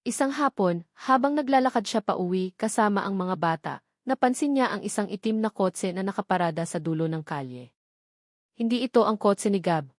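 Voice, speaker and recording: A woman's voice: medium pitch, a little mellow, no room sound. Woman narrating a dramatic story, close and dry.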